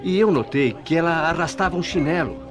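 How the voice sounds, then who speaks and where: A man's voice: on the high side, brisk, close to an everyday voice. An actor playing an earnest, puzzled male hospital night-shift worker in a Brazilian radio mystery drama. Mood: insistent. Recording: radio play with music behind.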